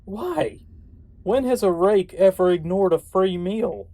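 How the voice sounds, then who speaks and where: A man's voice: high voice, lively intonation, slow pace, warm tone, no echo. An actor playing a sarcastic, skeptical man, a reluctant chosen one, in an audio drama, talking with exasperation. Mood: baffled. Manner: sardonic, exasperated.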